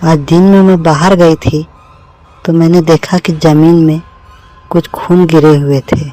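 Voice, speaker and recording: A woman's voice: deep voice, steady tone, unhurried, mellow, faint music behind. A woman playing an anxious, suspicious village wife in a horror cartoon. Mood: worried, reproachful.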